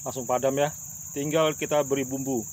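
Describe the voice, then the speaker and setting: A man's voice: medium pitch, level tone, a little fast, darkish tone, slight background noise. A middle-aged man, chatting enthusiastically as a vlogger while cooking at an outdoor table, repeating his words of praise. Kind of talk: casual outdoor cooking vlog. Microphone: camera mic at close range.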